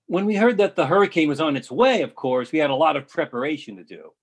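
Man reading boat owner, practical, husky, slightly high. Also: lively intonation, fast talker. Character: boat owner securing his vessel. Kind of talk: readers theatre monologue.

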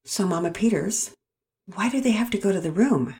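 A patient, soft-spoken storybook father who asks mild, careful questions, as a woman reading aloud puts him on. His voice is gentle and a little higher than the reader's own. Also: expressive, moderate pace, close to an everyday voice.

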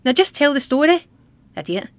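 A woman playing a defiant, sharp-tongued teenage schoolgirl with snappy sarcasm in an audio drama. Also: sits high, very animated, normal speed, no room sound. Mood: irritated. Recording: busy chatter behind the voice.

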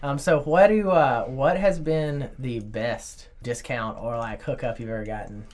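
Host, male, thirties, medium pitch, chatty rambling storyteller. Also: measured pace, a little mellow. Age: 30s. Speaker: podcast host. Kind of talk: casual chat over drinks.

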